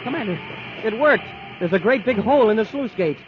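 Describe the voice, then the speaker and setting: Earnest young space cadet, deferential, quick to exclaim, 1950s radio adventure, dull recording with clear noise. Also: male voice, high voice, expressive. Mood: excited. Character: eager, excitable young space cadet sidekick.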